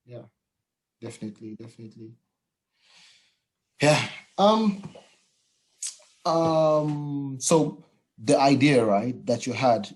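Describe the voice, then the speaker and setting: A man's voice: medium pitch, darkish tone. Man hosting a chat. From Nigeria. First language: Yoruba. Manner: halting, many fillers and restarts. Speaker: podcast host. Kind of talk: casual interview chat.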